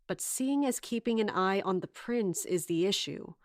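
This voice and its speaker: A woman's voice: mid-range voice, expressive, normal speed, no room sound, clean studio sound, lightly acted. An actress playing a composed, formal and stern female captain with an authoritative manner in an audio drama. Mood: firm, formal.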